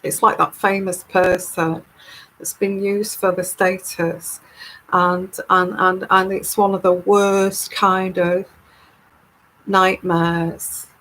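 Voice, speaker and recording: A woman with a calming, soothing voice, hosting a live tarot stream and chatting casually as she reads viewer comments. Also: on the low side, rough recording. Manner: unhurried, chatty and rambling.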